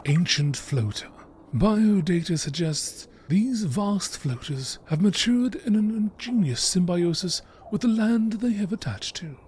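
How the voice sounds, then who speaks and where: A man reading scripted nature documentary narration in a measured, expository manner. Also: sits high, animated, a little mellow, breathy edge. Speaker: narrator reading game text.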